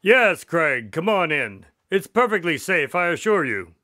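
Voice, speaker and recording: Bright, higher-voiced affable doctor sidekick. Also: male voice, sing-song, measured pace, no room sound, studio quality, heavily acted. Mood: reassuring. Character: affable doctor sidekick to a detective, narrating cases. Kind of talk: radio drama acting and storytelling.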